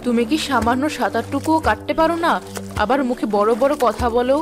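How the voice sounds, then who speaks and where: A woman's voice: fairly high pitch, fast talker, music in the background, natural voice. A woman playing a nagging, sarcastic village housewife in a cartoon. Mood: scornful. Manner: sarcastic, argumentative. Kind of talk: cartoon voice acting.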